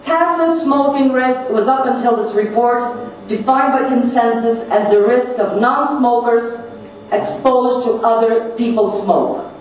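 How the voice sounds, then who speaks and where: A woman with a high voice delivers a formal, scripted speech in deliberate, emphatic phrases. She sounds distant from the microphone, in a very reverberant room. Some noise sits in the recording. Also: darkish tone. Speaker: conference speaker reading another person's message. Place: large echoing conference room. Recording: very echoey hall, distant mic, noisy.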